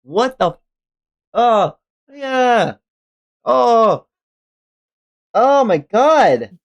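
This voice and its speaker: A man's voice: very high voice, sing-song, extremely slow, highs cut off. A man hosting a live read-along, reacting casually and expressively, with exclamations and some swearing. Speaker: livestream host reading manga aloud.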